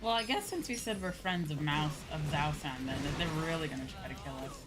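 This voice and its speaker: A woman's voice: sits low, lively intonation, fairly quick, low-quality recording. A woman streaming a video game with casual live commentary, playful, gushing and teasing. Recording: game music behind.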